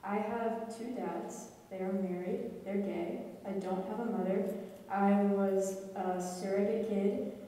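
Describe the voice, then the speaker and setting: A woman's voice: medium pitch, steady tone, slow pace, warm tone. A teenage girl on a student panel speaking directly and matter-of-factly. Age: teens. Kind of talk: personal testimony on a panel. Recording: echoey hall, far from the mic, faint background noise.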